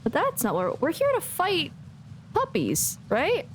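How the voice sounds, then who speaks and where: A woman's voice: very high voice, huge pitch swings, unhurried, slight background noise. A woman giving casual, chatty live commentary as a game streamer, with playful asides. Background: faint game music.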